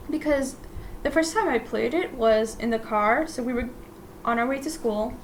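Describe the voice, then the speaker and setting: Chatty young-sounding host, bright high voice. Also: female voice, a little hiss or hum. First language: Russian. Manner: rambling and self-deprecating, often laughing softly; chatty, full of "like" fillers and restarts. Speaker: podcast host. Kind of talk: casual solo podcast chat.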